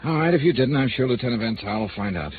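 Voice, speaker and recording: A man's voice: medium pitch, lively intonation, fast talker, a little hiss or hum, close to an everyday voice. An actor playing a wry, world-weary male private investigator in 1950s radio noir style, with clipped, sardonic delivery. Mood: softened, wry. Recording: old narrow-band radio recording with music.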